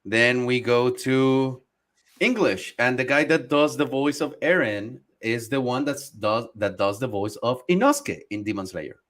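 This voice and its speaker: A man's voice: mid-range voice, expressive, a little slow, fairly bright tone. Laid-back profane man chatting. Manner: loose, profane, laughs easily. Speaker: podcast co-host. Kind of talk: casual chat reacting to anime dubs.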